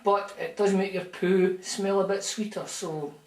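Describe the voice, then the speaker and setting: Guy doing a deadpan scottish caller pretending to be a big fighter, voice a bit high, echoey room. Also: unhurried. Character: deadpan male caller posing as a famous fighter. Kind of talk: comic phone-in bit.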